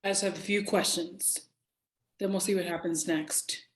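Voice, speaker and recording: A woman playing a composed female police agent in a screenplay table read, questioning in a measured, businesslike way. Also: lowish voice, fairly even intonation, close to an everyday voice. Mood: composed, probing.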